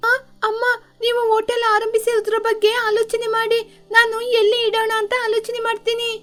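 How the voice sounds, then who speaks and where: A woman voicing an eager, chirpy young bird daughter in an animated children's moral story. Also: very high-pitched, a little fast. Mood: eager, persuasive.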